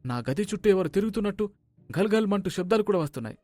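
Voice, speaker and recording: A man's voice: high-pitched, animated, racing, darkish tone, soft background music, pitched above the performer's own voice. A man voicing a frightened, sleepless thief in a cartoon moral story, complaining in an agitated way. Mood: irritated complaint. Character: frightened, grumbling male houseguest thief, rattled and sleepless.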